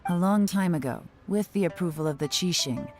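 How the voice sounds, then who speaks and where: A woman voicing a composed female intelligence agent in a video game, speaking in a calm, measured way. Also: on the low side, close to an everyday voice. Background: game music.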